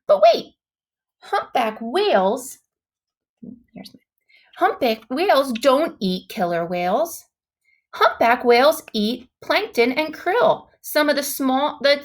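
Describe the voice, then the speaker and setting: A woman with a high voice reads a children's storybook aloud. She takes her time, stretching important words for effect. Her delivery is dramatic and expressive, with emphatic exclamations. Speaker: storybook reader.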